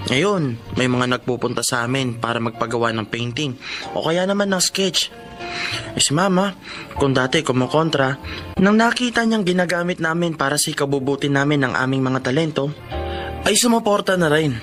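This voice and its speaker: A man plays a cheerful young Filipino student artist in a radio play. His voice is a bit high, youthful and earnest. Soft music sits underneath. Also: normal speed, close to an everyday voice. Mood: earnest narrating. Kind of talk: Filipino radio drama acting.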